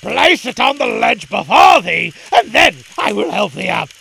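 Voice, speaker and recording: A man's voice: high-pitched, big pitch swings, unhurried, creaky, sharp tone, slight background noise, full character voice. A man voicing an impatient, enraged evil dervish uncle who gives commands in archaic storybook speech. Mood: raging.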